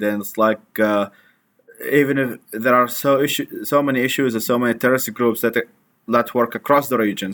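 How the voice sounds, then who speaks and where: A man, a guest in an interview, talking in a halting way with many restarts. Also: medium pitch, a bit bright. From Iraq. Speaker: guest, activist. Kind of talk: conversational interview.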